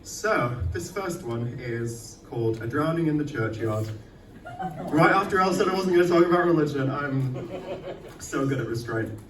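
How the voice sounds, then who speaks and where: A man's voice: fairly high pitch, expressive, moderate pace, distant mic. A male poet at a live poetry reading in an echoey venue, picked up some way from the microphone. His manner is self-deprecating and sweary, and there is faint noise in the recording. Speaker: poet performing own work. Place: indoor arts night venue, live event.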